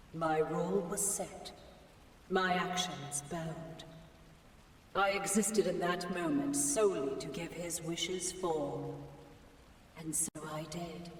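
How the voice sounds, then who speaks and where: A man voicing a weary, cursed male being bound by an alien will, speaking slowly and solemnly in a game. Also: a little high. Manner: slow, solemn, brooding. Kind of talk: game voice acting. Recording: echoey hall from far off, faint noise.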